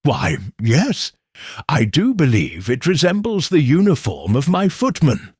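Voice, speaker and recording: A man voicing an anxious older nobleman who speaks uneasily, his voice higher. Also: huge pitch swings, a little slow, a little mellow, husky, dry recording, clean studio sound, exaggerated voice.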